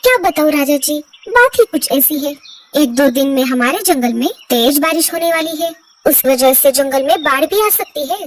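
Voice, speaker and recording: Lady doing tiny helpful bird lady for a kids cartoon, super high voice, earnest, bit distant. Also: animated, normal speed, slight background noise. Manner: earnest, respectful. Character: small helpful female bird, earnest and polite. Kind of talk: Hindi cartoon story dubbing.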